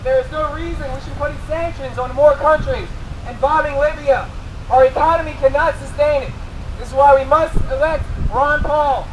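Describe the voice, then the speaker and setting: A man's voice: very high voice, steady tone, normal speed. An American man giving an impassioned, sloganeering street speech as a political activist, amplified through a bullhorn. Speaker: political activist campaigning for a candidate. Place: outdoors at a road intersection. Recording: noisy outdoor roadside, traffic noise.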